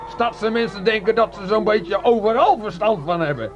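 An actor playing a folksy, suspicious farmer in a radio play, grumbling and quaintly formal. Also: male voice, high voice, moderate pace, highs cut off, close to an everyday voice. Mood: grumbling, sly. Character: folksy, suspicious Dutch farmer, stubborn and wary of city folk.

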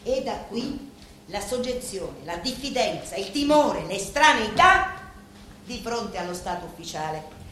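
An actress playing an intense, solemn woman in a radio drama, declaiming deliberately and emphatically. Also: mid-range voice, big pitch swings, fairly bright tone. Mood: declamatory, intense. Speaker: stage actress. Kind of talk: theatrical monologue in a radio drama. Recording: very echoey room with faint noise.

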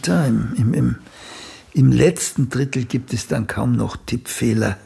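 Older playwright, radio drama, heavily gravelled voice, unhurried, blunt, condescending. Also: male voice, medium pitch, dark tone, a little hiss or hum. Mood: scathing, condescending. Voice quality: gravelly, husky voice. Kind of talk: German radio play acting.

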